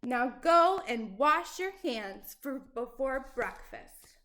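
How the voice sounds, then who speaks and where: A woman voicing a huge, kindly but bossy giantess who booms and scolds. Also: big pitch swings, slow, fairly bright tone, exaggerated voice. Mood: scolding. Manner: booming, bossy.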